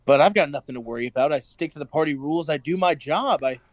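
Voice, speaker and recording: Male actor as nervous obedient party man, raised pitch, earnest, hidden doubts, radio drama. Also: fast, natural voice. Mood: uneasy, defensive.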